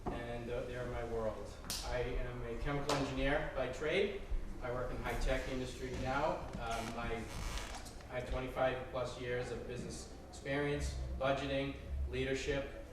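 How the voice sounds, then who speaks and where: A man's voice: medium pitch, flat delivery, normal speed. A middle-aged man introducing himself as a candidate at a town forum, earnest and repeating his words as he restarts. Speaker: political candidate. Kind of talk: campaign self-introduction. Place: wood-panelled town hall. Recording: echoey hall from far off, noisy.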